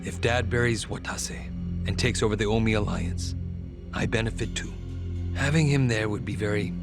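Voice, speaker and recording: An actor playing an ambitious, arrogant politician with a yakuza father in a video game cutscene, smug, deliberate and menacing. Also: on the low side, steady tone, lightly acted. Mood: smug musing. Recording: music behind.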